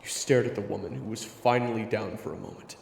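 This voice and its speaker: Gravelly, echoing male story narrator. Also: on the low side, fast talker, a little mellow, a little hiss or hum. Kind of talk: dramatic second-person story narration. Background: dramatic music.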